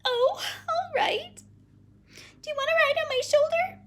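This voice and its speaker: A woman voicing a timid, easily frightened little girl in a children's story, with shrill, anxious exclamations. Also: measured pace, fairly bright tone, a little hiss or hum.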